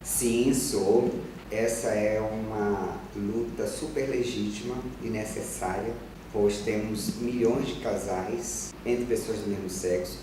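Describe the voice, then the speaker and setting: A man, a journalist and activist, giving measured, explanatory answers in an interview. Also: fairly low pitch, background noise. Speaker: journalist and LGBT association president. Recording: echoey room, distant mic, music underneath.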